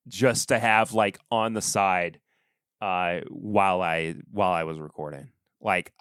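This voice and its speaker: A man with a stuffed-up nose talks slowly and loosely on a podcast, often trailing off into quiet. His voice is smooth and clean, recorded close and dry with no room sound. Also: lowish voice, no room sound. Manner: relaxed, rambling, trailing off quietly. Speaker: podcast host. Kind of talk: casual solo review chat.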